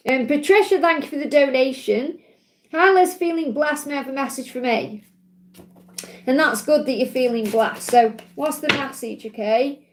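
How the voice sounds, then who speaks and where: A woman's voice: high-pitched, moderate pace. A woman with a Stoke-on-Trent accent doing a live tarot reading, answering viewer questions in a soothing, reassuring way and checking in often with "okay?". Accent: Stoke-on-Trent English. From England (Stoke-on-Trent). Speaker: tarot reader on a livestream.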